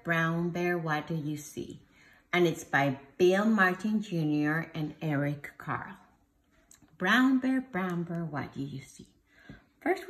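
A woman preschool teacher reading a picture book aloud to young children in a sing-song, drawn-out way. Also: lowish voice, fairly bright tone. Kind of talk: children's storybook read-aloud. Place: home dining room, indoors.

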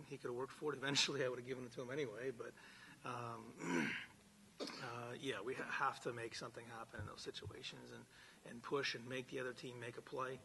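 A man's voice: mid-range voice, creaky, pushed and bright, slight background noise, rough recording. A middle-aged man coaching college baseball, giving blunt postgame answers at a press conference table mic, often repeating words as he starts. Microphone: table microphone.

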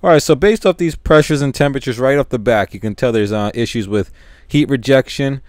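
A young man in his twenties, an HVAC technician, explaining a repair step by step on a rooftop in a relaxed way, with many fillers. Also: mid-range voice, brisk. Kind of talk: on-the-job teaching. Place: rooftop outdoors beside running equipment. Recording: loud machine noise outdoors.

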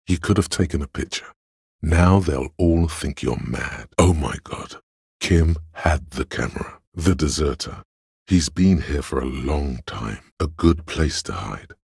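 An actor playing a cold, analytical inner voice of logic in a game, husky and gravelly, speaking in deliberate, clipped, instructive statements. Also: male voice, very deep voice, no echo, studio quality, exaggerated voice. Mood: reasoning. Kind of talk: dramatic second-person game narration.

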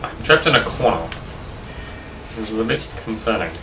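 A man's voice: fairly low pitch, lively intonation, moderate pace, music in the background. A man chatting casually while gaming, putting in brief, sometimes sweary remarks. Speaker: co-player chatting along. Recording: roomy, distant mic, faint noise.

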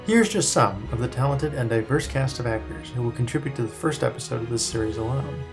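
A man with a medium-pitched voice narrates a project pitch. The delivery is scripted and promotional, with soft music underneath. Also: fairly quick. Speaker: writer-director presenting his project.

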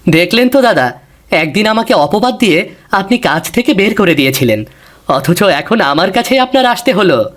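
Man acting a plaintive young villager, raised pitch. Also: sing-song, moderate pace, a bit bright. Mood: reproachful. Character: poor young village man, sorrowful and earnest.

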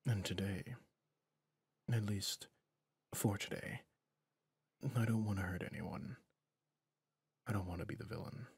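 A man playing a lonely, weary fantasy villain in an intimate ASMR roleplay, breathy and husky, often near a whisper, brooding and tender as he confides. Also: on the low side, no echo, full character voice. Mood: pitying, weary. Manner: slow, brooding, confiding with pauses.

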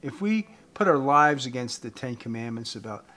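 A man in his fifties giving an earnest evangelistic talk to camera as a host, phrasing deliberately with emphasis. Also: mid-range voice, animated, sharp tone. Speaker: host giving a faith message. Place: indoors, room with wooden beams. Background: guitar music.

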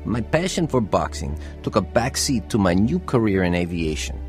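A man's voice: sits low, big pitch swings, normal speed, darkish tone, soft background music. A man playing a cocky, skeptical young boxer in a radio drama. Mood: reflective. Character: cocky, skeptical young male boxer turned aviation worker. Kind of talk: radio drama acting with first-person narration.

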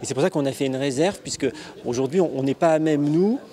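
Fast-talking man in an interview. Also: fairly high pitch, mellow. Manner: fast, hesitant with restarts. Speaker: association representative. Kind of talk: interview answer. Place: indoor meeting hall. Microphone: handheld reporter mic.

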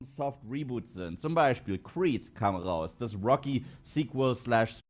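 A man's voice: medium pitch, animated, slow pace. A man in his thirties presenting scripted film commentary to camera, emphatic and tongue-in-cheek. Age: 30s. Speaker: online film critic and presenter. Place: indoor studio with dark backdrop.

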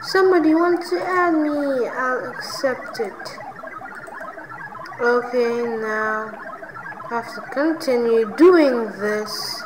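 A woman hosting her own gaming channel, giving let's play commentary and reacting loudly to each win and death. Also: on the high side, slow pace, noisy background.

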